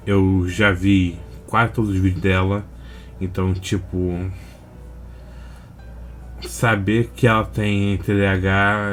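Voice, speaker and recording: A man's voice: fairly low pitch, slight background noise. A man with a Brazilian accent hosting a reaction video in laid-back, slangy, casual chat.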